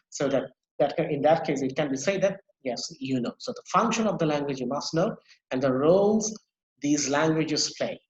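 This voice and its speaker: A man's voice: fairly high pitch, darkish tone, roomy sound, muffled recording. A man gives a university lecture, explaining each point over and over with many fillers and restarts. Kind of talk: recorded online lecture.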